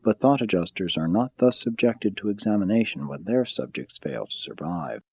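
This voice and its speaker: Mellow, slightly husky low-voiced male narrator. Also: lively intonation, dry recording, highs cut off. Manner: measured, solemn, formal reading. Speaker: audiobook narrator. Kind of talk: scripted audiobook narration of a spiritual text.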